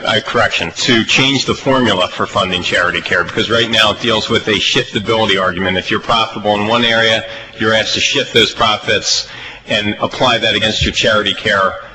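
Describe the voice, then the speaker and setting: An American man chairing a legislative hearing, speaking off the cuff in halting, emphatic phrases with repetition. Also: on the low side, pushed and bright. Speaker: committee chairman. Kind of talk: extemporaneous remarks at a legislative budget hearing. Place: legislative committee hearing room. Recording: noisy legislative hearing audio.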